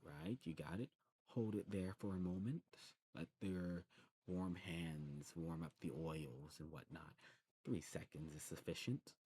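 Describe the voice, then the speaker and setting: Man playing a deep-voiced, rambling demon shopkeeper. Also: a little slow, dark tone. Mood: patient, instructive. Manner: rambling, hesitant, explanatory. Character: male demon snuff shopkeeper, knowledgeable, fond, patient. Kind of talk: ASMR roleplay, rambling product talk. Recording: clearly noisy background.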